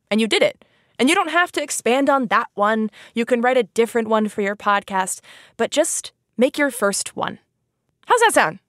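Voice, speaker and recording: A woman's voice: fairly high pitch, a bit bright, no room sound, studio quality. A woman hosting a casual educational podcast, chatting informally with plenty of "like" and an easy laugh. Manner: giggly, laughs easily. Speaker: podcast host.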